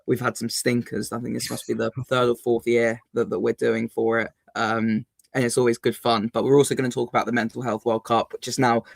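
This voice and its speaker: Casual fan-podcast co-host, man, croaky and gravelly, even-toned. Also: medium pitch, no room sound. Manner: chatty, lots of ums and restarts. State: slightly croaky voice. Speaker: co-host of a football fan channel.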